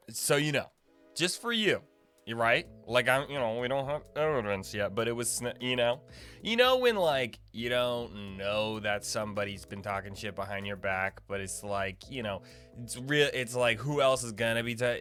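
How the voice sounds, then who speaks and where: A man's voice: medium pitch, bright, forceful tone, clean tone. A young man giving casual, informal game guide commentary, rambling and thinking aloud as he plays. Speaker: gaming video creator. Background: faint game music.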